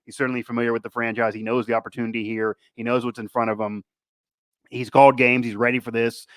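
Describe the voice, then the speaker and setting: A man's voice: medium pitch, little pitch movement, fast, a bit bright, dry recording. A man hosting a sports show, talking casually in a rambling way that circles back on itself. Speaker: sports podcast host. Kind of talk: casual sports talk and interview.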